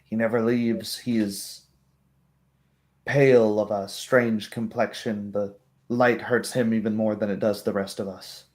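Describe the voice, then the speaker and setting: A man voicing a wary, weary female kobold in a tabletop roleplay, speaking haltingly with pondering hums. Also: lowish voice, steady tone, natural voice. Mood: wary, compliant.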